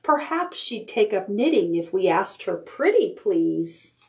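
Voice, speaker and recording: Exasperated storybook animals plead and grumble in rhyme, read out by a woman. The voice sits at a middle pitch and takes its time over the complaint. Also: sing-song, measured pace. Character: exasperated jungle animals complaining about a noisy neighbour.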